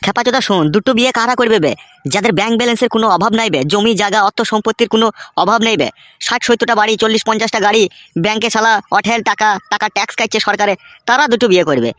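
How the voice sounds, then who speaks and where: A man's voice: high-pitched, very fast, a bit bright, no echo, clean studio sound. A man voicing a blunt, mocking, foul-mouthed village friend in a comedy cartoon, lecturing coarsely.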